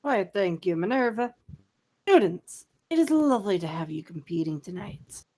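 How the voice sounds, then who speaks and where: A woman's voice: mid-range voice, sing-song, moderate pace, dark tone. A woman playing an elderly male headmaster wizard, commanding yet genial, with formal, courteous phrasing in an amateur audio drama. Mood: genial, ceremonial.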